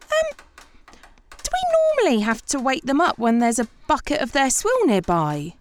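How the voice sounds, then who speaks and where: A woman's voice: high-pitched, wildly swooping pitch, normal speed. An actress playing a sceptical, outspoken woman in a comic audio drama, musing aloud and blurting out sardonic doubts. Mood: apologetic, puzzled. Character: sceptical, outspoken woman aboard Noah's Ark, questioning everything.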